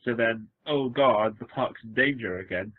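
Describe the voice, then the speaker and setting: A man's voice: mid-range voice, moderate pace, dry recording. A man with a Welsh accent co-hosting a casual podcast, rambling and joking through a story with frequent restarts. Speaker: podcast co-host. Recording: phone-like lo-fi dry sound.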